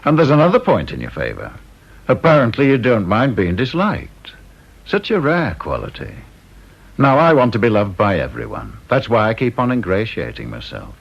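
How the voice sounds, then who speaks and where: Sardonic British security chief, gravelly, mock-polite radio drama villainy-free wit, dull old recording. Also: male voice, on the low side, huge pitch swings, moderate pace, full character voice. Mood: smooth, authoritative. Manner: dry, teasing, mock-polite. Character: sardonic, talkative male senior security interviewer.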